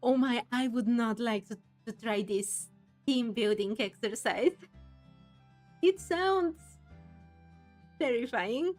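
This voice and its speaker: A woman's voice: fairly high pitch, takes it slowly, long pauses, a little mellow, faint music behind. A woman streaming a game, commenting casually and musing aloud in a reactive way, sometimes reading text out. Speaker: gameplay streamer.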